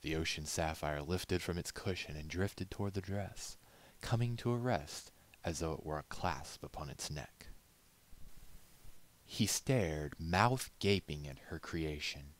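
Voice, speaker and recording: A man reading a story aloud as scripted narration, in a measured, literary storytelling manner. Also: extremely low pitch. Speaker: amateur audiobook reader.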